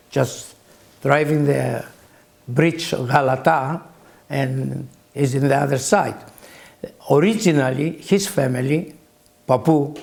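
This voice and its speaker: A man in his eighties with a Greek accent, recalling his early life as an interview guest in halting phrases with long pauses. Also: on the high side, gravelly, a little mellow. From Greece. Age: 80s. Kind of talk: slow reflective recollection.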